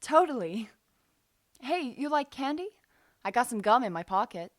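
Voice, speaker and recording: A woman's voice: fairly high pitch, very animated, moderate pace. A woman playing a breezy, joking young American army nurse in an audio drama, with an American accent and playful, slangy talk.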